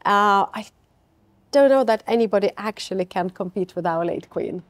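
A woman's voice: mid-range voice, moderate pace, no echo. A middle-aged woman, a company founder speaking enthusiastically in an interview, often repeating words for emphasis. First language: Lithuanian. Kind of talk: conversational interview. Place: bright open office lounge with large windows.

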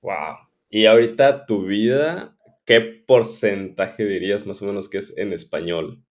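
A man's voice: on the low side, unhurried. A man in his twenties hosting a casual interview, listening attentively and putting in short responses. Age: 20s. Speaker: podcast host, interviewer.

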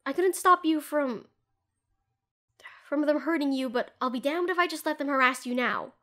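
A woman's voice: high-pitched, moderate pace. A woman playing a protective, tender doctor who looks after an injured ex-lover in an intimate audio roleplay, earnest in manner. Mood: urgent, protective. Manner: earnest, soothing, hushed at times, fierce when protective. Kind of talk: scripted intimate audio roleplay addressed to the listener.

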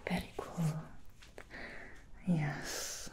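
A woman voicing an alluring female demon hairstylist in a whispered ASMR roleplay, speaking in a breathy whisper close to the microphone with slow teasing hums between lines. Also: darkish tone. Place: small dressed studio set indoors. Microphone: close sensitive microphone.

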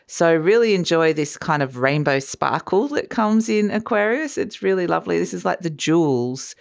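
Woman tarot reader, low and slightly croaky from a cold, musing, chatty delivery. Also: lively intonation, moderate pace. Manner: warm, musing, trails off and restarts, light laughs. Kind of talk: casual spoken tarot reading. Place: sunny room by a window, indoors.

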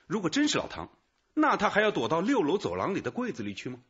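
Junior cop full of doubts, radio drama, high raspy voice, swoopy, muffled audio. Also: male voice, huge pitch swings, fast talker, a bit bright, exaggerated voice. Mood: doubtful. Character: doubtful, questioning male junior police detective.

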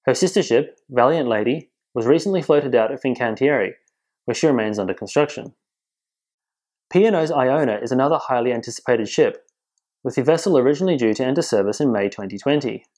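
A man presenting cruise industry news in measured, scripted voice-over narration. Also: mid-range voice, expressive, darkish tone. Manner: measured, informative delivery. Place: voice-over, not in pictured places.

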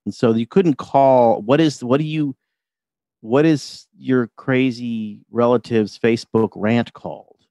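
A man's voice: medium pitch, unhurried, dark tone, no echo. A man, a television writer and producer, chatting as a podcast guest in a wry, talkative way, often repeating words as he starts a thought. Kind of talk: casual interview chat.

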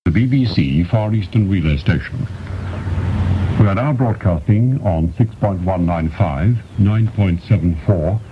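A man with a deep voice makes a formal scripted announcement. The old shortwave recording is dull, with faint noise. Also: a little slow. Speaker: radio station announcer.

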